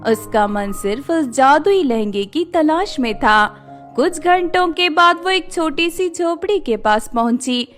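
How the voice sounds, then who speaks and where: A woman's voice: sits high, expressive, unhurried, a bit bright, faint music behind. A woman narrator reading a scripted fairy tale for children.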